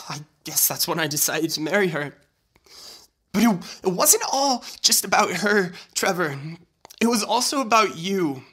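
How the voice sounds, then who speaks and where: A man's voice: sits high, pushed and bright, slightly rough, full character voice. An actor playing a tender, resolute young man, speaking earnestly and emotionally in an audio drama. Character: self-sacrificing young groom-to-be, tender, resolute male friend.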